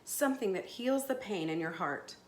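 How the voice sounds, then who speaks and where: A woman's voice: medium pitch, expressive, moderate pace, echoey room, natural voice. A kindly old woman from a storybook, as a female reader puts her on. She murmurs tenderly and mournfully, fussing over a child. A slight noise sits under the voice. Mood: wistful, consoling. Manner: soft-spoken, murmuring.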